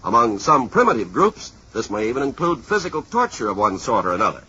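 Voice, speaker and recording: An American man with a raspy voice reading a formal, explanatory scripted talk as a vintage radio announcer. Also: medium pitch, sing-song, brisk, pushed and bright. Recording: dull vintage radio broadcast.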